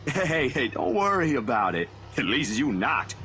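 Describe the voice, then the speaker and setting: A man's voice: mid-range voice, very animated, a little slow, sharp tone, slight background noise. An actor playing a kind, easily flustered young man in a visual novel, talking casually and stammering when flustered. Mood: reassuring, easygoing. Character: kind, flustered young male freelance photographer friend.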